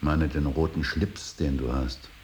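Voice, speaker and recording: An actor playing a stern, brooding old painter and father in a radio drama, solemn and intense, moving between hushed breathy words and forceful declaiming. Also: extremely low pitch, fairly even intonation, unhurried. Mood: stern reproach. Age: older. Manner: solemn, intense, swings from hushed whisper to outburst. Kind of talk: 1980s German radio drama acting. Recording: hissy radio drama recording.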